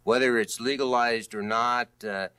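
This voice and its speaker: A man speaking as a candidate in a political debate, with a halting delivery full of hesitations. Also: mid-range voice, fairly even intonation, bright, forceful tone, clean tone. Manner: halting, hesitant delivery with many long pauses. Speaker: candidate for district attorney in a debate.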